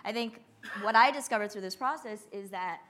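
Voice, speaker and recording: A woman's voice: mid-range voice, fairly quick, a bit bright. An American woman, a filmmaker, chatting informally on a panel and saying "like" often. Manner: informal, conversational, frequent "like". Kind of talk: casual panel talk.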